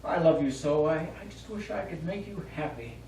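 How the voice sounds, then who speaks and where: An anxious, devoted husband, acted on stage by a man in a slightly high voice. He is earnest, hesitant and easily exasperated. He is heard from a distance in an echoey space. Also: normal speed, vocal fry, warm tone. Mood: weary, tender. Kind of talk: live stage play acting. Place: small theatre studio, distant microphone. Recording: echoey, noisy stage recording from far off.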